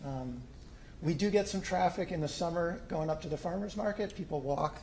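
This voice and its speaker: Man, middle-aged, slightly high voice, halting with ums, meeting-room mic, faint hiss. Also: a little mellow. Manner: hesitant, many ums and restarts. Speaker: homeowner applicant at a city commission. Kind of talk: informal presentation at a public meeting. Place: city hall meeting room.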